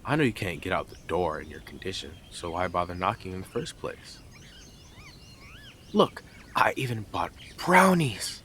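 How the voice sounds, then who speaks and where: A man's voice: low-pitched, very animated, normal speed, fairly bright tone, a little hiss or hum. A man playing a blunt, smug, obsessive male neighbour in an audio roleplay, with a taunting, sarcastic delivery and dramatic emphasis. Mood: taunting, matter-of-fact. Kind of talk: scripted audio roleplay addressed to listener.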